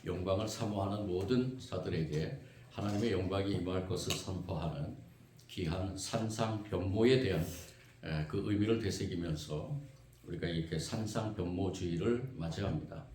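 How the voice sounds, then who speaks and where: Hoarse guy preaching, kinda low voice, earnest and steady, lots of uh's, church echo all around. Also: moderate pace. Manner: earnest, steady, with frequent filler sounds. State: hoarse from a cold. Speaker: pastor. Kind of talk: sermon with responsive scripture reading. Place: church sanctuary. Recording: echoey church room, faint noise.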